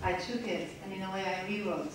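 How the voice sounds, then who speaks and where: A woman reads aloud and then talks in a measured, reflective way, pausing now and then to restart a thought. She speaks at a middle pitch. She is caught from a distance in a very echoey lecture room with a little background noise. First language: Turkish. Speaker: author at a reading. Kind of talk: reading aloud then answering questions.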